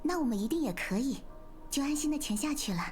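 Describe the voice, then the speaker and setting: Bubbly high-voiced young anime woman. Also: quick pace, music in the background. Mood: wondering, eager. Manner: animated, cheerful, storytelling. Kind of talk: scripted game dialogue in Mandarin.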